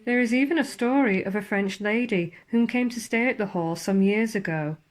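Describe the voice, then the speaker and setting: A woman narrates a ghost story in a theatrical, suspenseful manner, with deliberate pauses and formal, old-fashioned wording. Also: fairly high pitch. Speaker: ghost walk guide and storyteller. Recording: clear background ambience under the voice. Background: spooky horror ambience sounds.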